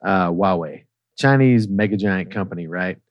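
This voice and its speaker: A man telling rambling, profane stories casually on a comedy podcast, quick to laugh. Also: deep voice, dry recording, highs cut off. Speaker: guest storyteller.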